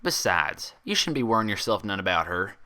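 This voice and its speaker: A man's voice: on the low side, very animated, a little fast, pushed and bright, exaggerated voice. A man voicing a kindly female pony doctor with a folksy, soothing, patient manner in a story reading. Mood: reassuring.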